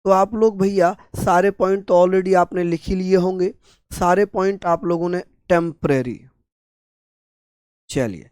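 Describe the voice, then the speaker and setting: A man with a sore, hoarse throat teaching an exam-prep class, repeating each term and answering his own questions. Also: high voice, a little mellow, dry recording. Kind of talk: online exam-prep lecture.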